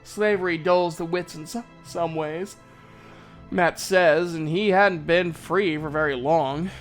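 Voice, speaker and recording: A man's voice: a little high, slow pace, faint music behind. A man narrating a fantasy story in the first person, in a folksy, conversational storyteller's manner. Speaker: narrator, voice actor.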